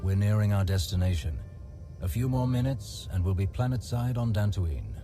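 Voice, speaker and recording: Man acting as genteel male guide, video game, low-pitched, wry, self-assured, wordy, prominent music behind. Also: lively intonation, normal speed, close to an everyday voice. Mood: confident, wry. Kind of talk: acted game cutscene dialogue.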